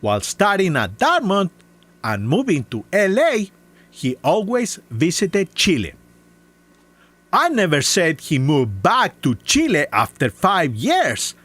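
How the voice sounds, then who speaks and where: Heavily accented man with lively pitch. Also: slow, breathy edge, no room sound. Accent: non-native English. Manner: scornful, methodical listing of claims. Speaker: commentary video maker. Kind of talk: scripted rebuttal commentary.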